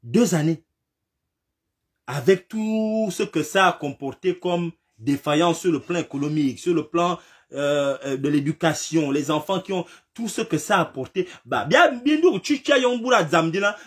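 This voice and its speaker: Guy with a bit of a cold ranting about politics, highish voice, keeps repeating himself and asking the audience questions. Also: expressive, normal speed. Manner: emphatic, repetitive, rhetorical questions, rising to shouts. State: has a slight cold. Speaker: political commentator. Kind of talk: impassioned political commentary.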